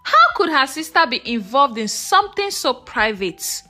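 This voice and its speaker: A woman telling a scripted folktale as a storyteller, in a measured, expressive and dramatic way. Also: sits high, soft background music. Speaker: storyteller narrator.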